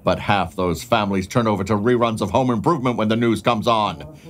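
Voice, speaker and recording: A man's voice: lowish voice, a little fast, lightly acted. A man playing a sardonic, exasperated TV news boss in an audio drama, scolding the staff. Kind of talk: naturalistic audio drama acting.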